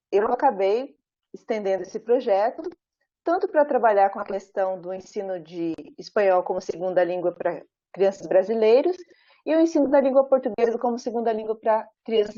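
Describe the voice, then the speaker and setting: A Brazilian woman professor giving an academic talk over a video call, speaking in a measured, thoughtful way with frequent pauses and tag questions. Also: mid-range voice, lively intonation, dark tone. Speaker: professor presenting her research. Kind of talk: academic talk at an online book launch. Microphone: video call, camera off. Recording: muffled video call audio.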